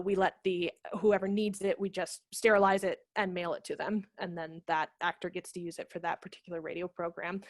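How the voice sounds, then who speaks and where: A woman's voice: on the low side, no room sound. A woman in her thirties giving a chatty, explanatory workshop talk over a video call. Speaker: theater director and former teacher presenting a workshop. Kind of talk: informal instructional video-call presentation. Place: home room, indoors. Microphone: headset microphone on a video call.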